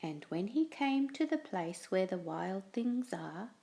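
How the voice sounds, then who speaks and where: A woman slowly reading a children's picture book aloud with an expressive, storytelling delivery. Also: vocal fry. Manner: slow, expressive reading with lively pitch.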